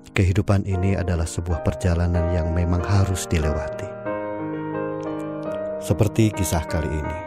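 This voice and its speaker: Male storyteller for an audio drama, deep and measured, music under the voice. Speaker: narrator. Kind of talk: scripted drama narration.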